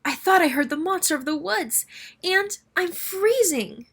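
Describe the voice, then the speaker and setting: Lady doing a tiny squeaky mouse for a kids story, high pitched, nervous, bit cheeky. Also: expressive, measured pace, fairly bright tone, heavily acted.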